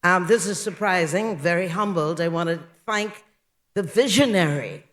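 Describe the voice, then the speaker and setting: A man giving an award acceptance speech in a slow, emphatic, rousing way. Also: high voice, background noise. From Bahamas. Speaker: award recipient, media entrepreneur.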